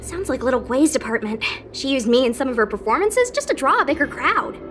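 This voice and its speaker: A woman's voice: high-pitched, expressive, fairly quick, a bit bright, faint music behind. A woman voicing a sassy, skeptical young girl in an anime game, frank and teasing in manner. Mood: skeptical, curious. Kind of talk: anime game dialogue acting.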